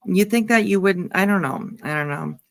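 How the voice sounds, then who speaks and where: A woman host giving casual reaction commentary, musing in a halting, repetitive, emphatic way. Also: medium pitch, gravelly. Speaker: host giving commentary on a true-crime case.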